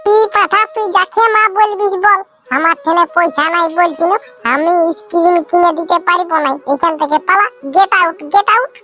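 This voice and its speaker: A man's voice: very high voice, moderate pace, pushed and bright, soft background music. A man voicing an exasperated, blunt village mother in a comedy cartoon, with a Purulia Bengali accent. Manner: scolding, blunt, grumbling. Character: exasperated, scolding village mother, quick to threaten.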